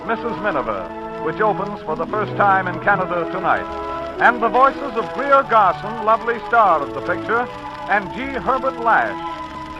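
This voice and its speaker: A man's voice: high-pitched, poor audio. A man announcing and narrating in scripted 1940s radio style, addressing listeners in a folksy, direct way. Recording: old 1940s radio recording.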